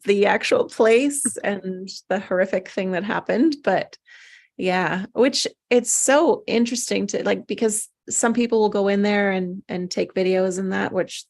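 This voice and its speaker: A woman's voice: medium pitch, unhurried, creaky. A woman hosting a casual group chat in an informal, blunt way. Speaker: host of table read.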